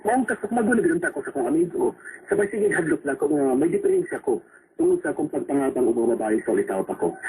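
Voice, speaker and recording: A man's voice: a little high, mellow. A man playing an anxious husband in a radio drama, earnest and dramatic. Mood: earnest confessing. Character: anxious husband confessing his troubles. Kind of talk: Philippine radio drama acting in Cebuano. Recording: noisy radio broadcast recording.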